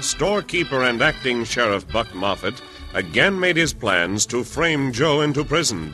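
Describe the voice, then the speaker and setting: Forceful American radio narrator over music. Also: male voice, mid-range voice, rough recording. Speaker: announcer and narrator. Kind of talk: dramatic scripted radio narration, vintage.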